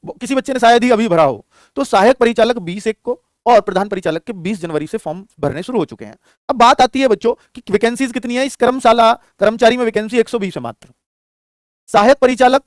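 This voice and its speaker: A high-pitched man teaches like a coaching instructor. He talks fast in a dry, close recording, addressing students familiarly and posing questions that he answers himself. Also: dry recording. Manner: explanatory, addresses listeners familiarly, asks and answers own questions. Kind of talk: lesson on job vacancies addressed to students.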